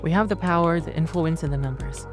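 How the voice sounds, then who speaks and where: An actress playing a determined woman, a chief's daughter, speaking in an earnest, measured way over music. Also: sits low, close to an everyday voice. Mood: resolute. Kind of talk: audio drama acting.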